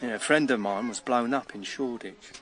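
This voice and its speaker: A man's voice: mid-range voice, fairly quick, fairly bright tone, lightly acted. An actor playing a talkative, matter-of-fact watchman in a radio drama, telling things in a plain-spoken way. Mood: eager storytelling. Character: matter-of-fact, talkative working man, a night-and-day watchman.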